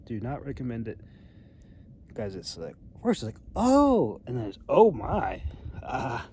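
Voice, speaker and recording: A man's voice: medium pitch, moderate pace, a little mellow, husky. A man in his thirties casually reviewing a product outdoors, wry and hesitant, often trailing off mid-thought. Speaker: amateur knife reviewer. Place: outdoors in a snowy open river valley. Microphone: phone at arm's length. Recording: outdoor phone recording with background noise.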